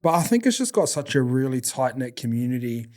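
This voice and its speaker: A man's voice: medium pitch, sing-song, brisk, darkish tone. A man with a New Zealand accent hosting a podcast, casual and blokey, laughing often. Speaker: podcast host.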